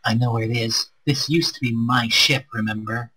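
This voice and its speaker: A man's voice: mid-range voice, fairly even intonation, moderate pace, crowd noise, lightly acted, low-quality recording. A man playing a weary, reluctant male space captain in a visual novel read-aloud. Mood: irritated.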